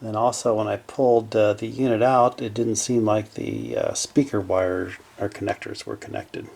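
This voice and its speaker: A man giving casual, unscripted narration as a hobbyist repairer at his workbench, low-key and hesitant as he thinks aloud. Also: lively intonation, normal speed, creaky, a little mellow, husky. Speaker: hobbyist electronics repairer presenting his project. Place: small indoor home workshop at a bench.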